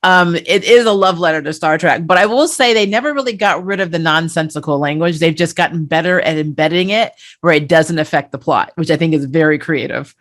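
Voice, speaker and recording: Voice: medium pitch, fairly quick, few pauses, fairly bright tone. Enthusiastic co-host in casual review chat. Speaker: pop-culture show co-host.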